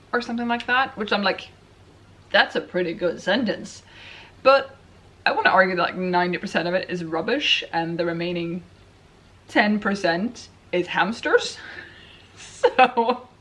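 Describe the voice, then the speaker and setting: Low-voiced woman chatting on a vlog. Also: fairly bright tone. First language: Swedish. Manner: chatty, self-deprecating, rambling with restarts. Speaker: writing vlogger. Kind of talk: casual chat to camera.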